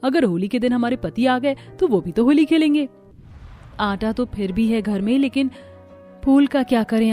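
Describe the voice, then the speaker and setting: A woman's voice: medium pitch, warm tone, natural voice. A woman voicing an eager, dreamy young village daughter-in-law in a cartoon story, earnest and emphatic.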